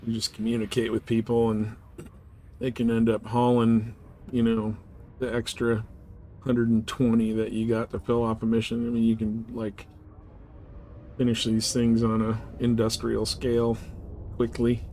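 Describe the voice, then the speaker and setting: A man with a flat, even delivery streams a game with faint noise behind him. His commentary is casual, informal and explanatory. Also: medium pitch, moderate pace. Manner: informal, explanatory, mildly profane.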